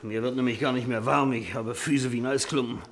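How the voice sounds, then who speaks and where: An actor playing a grumpy, sardonic, hard-drinking older screenwriter who grumbles stubbornly, in a German radio play. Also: male voice, medium pitch, steady tone, brisk, natural voice. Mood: grumbling, irritated.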